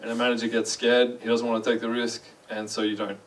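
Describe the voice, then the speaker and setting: Young Australian guy on a stage, relaxed and self-mocking, a bit distant from the mic in a large hall. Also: mid-range voice, quick pace, slight background noise. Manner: chatty, self-deprecating, asks the audience questions. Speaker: conference speaker. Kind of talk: casual conference talk. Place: large dark lecture hall.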